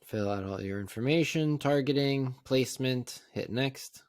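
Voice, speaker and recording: A man narrating a casual step-by-step software tutorial in a plain, conversational, instructional way. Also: mid-range voice, measured pace, no room sound, studio quality. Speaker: tutorial presenter.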